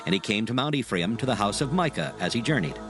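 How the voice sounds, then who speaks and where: Expressive solemn male scripture narrator. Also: lowish voice, over music. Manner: solemn, measured storytelling.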